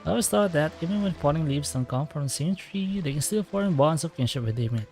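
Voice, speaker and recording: Chatty, animated game commentator. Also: mid-range voice, fast, faint music behind. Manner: musing, chatty, reacting aloud. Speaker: game streamer. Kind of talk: casual playthrough commentary.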